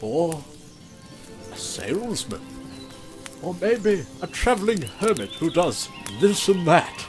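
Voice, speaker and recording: A man's voice: high voice, big pitch swings, slow pace, over music, full character voice. A man playing a pompous, hapless knight in a comic fantasy audio drama, melodramatic and given to talking to himself. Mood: dejected. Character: pompous, hapless male knight, self-important and easily dejected.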